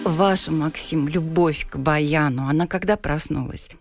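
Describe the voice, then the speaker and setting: A woman hosting a radio interview, asking questions in a measured, inquisitive way. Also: low-pitched, over loud music. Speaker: radio host.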